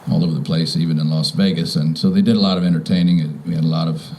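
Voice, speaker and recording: Very deep, mellow older man speaking informally. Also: steady tone, very fast. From Virginia, United States. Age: 50s or older. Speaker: property owner welcoming guests. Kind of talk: informal welcome speech. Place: outdoors in front of a house.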